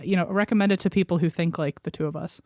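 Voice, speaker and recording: A woman's voice: on the low side, steady tone, quick pace, warm tone, dry recording, clean studio sound. A woman chatting casually as a podcast guest, candid and explanatory, with the odd swear word.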